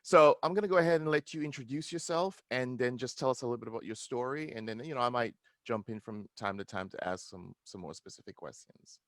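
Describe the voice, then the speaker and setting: A man hosting an interview, relaxed and chatty, using fillers as he sets up his guest. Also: medium pitch, quick pace, hardly any pauses, dry recording, clean studio sound. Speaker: host and interviewer.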